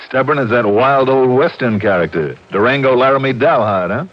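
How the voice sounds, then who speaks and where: An American actor playing a wry, slangy, hard-boiled insurance investigator in an old-time radio detective drama. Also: male voice, medium pitch, a little fast, close to an everyday voice. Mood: amused, animated. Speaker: lead actor and narrator. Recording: muffled vintage radio recording with music.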